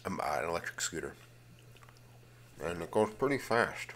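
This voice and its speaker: A man hosting a casual, rambling ASMR video, slipping between vocal fry and whispering and often repeating his phrases. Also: sits low, sing-song, normal speed, a little hiss or hum. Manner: alternates hushed fry and whispering.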